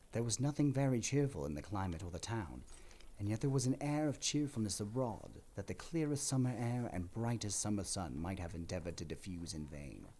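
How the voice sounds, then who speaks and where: A man narrating a dramatic story from a script in an expressive, theatrical manner. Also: on the low side.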